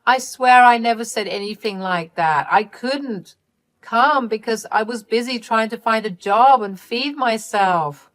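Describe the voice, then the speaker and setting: A woman's voice: fairly high pitch, a little slow, voice pushed up, close to an everyday voice. A woman voicing a spoiled, scheming younger sister in a story, whiny and indignant, with melodramatic pleading and accusing. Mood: panicked pleading.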